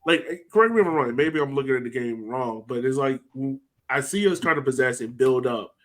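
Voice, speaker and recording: A man of middling pitch chats about his soccer team on a podcast. He talks loosely, hedging and reaching for words as he goes. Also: lively intonation, fairly quick. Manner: hesitant, fills speech with "like". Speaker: podcast co-host. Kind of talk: casual soccer chat.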